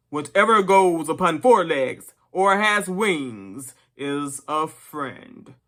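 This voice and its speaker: A reader voicing an elderly boar, a solemn revolutionary orator addressing fellow animals in a storybook, with deliberate, emphatic delivery. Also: medium pitch, a bit bright. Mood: solemn, commanding. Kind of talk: dramatic storybook reading of a speech.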